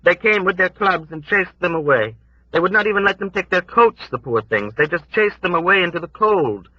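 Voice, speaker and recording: A man voicing a grieving old woman who wails and laments in a high, drawn-out keen, on a dull cassette audiobook. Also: expressive, no room sound. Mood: lamenting. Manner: high keening wail, drawn out.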